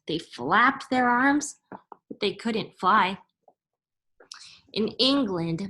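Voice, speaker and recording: A woman reading a children's science picture book aloud like a teacher, in a deliberate, instructive way that makes each term clear. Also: medium pitch, fairly bright tone. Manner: deliberate, instructive, spelling out and explaining terms.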